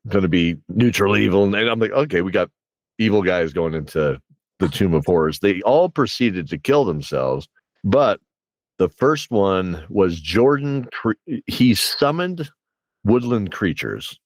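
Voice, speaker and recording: Low-voiced man telling tabletop game stories. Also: lively intonation, normal speed, no room sound. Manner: rambling, acts out players' reactions in quotes. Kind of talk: casual animated storytelling chat.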